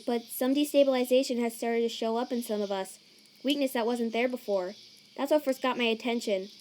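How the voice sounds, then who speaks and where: A woman voicing a nervous female monster scientist in a fan comic dub, earnest and anxious, with a halting stammer. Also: fairly high pitch, darkish tone, slight background noise, close to an everyday voice. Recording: chirping crickets and night ambience behind.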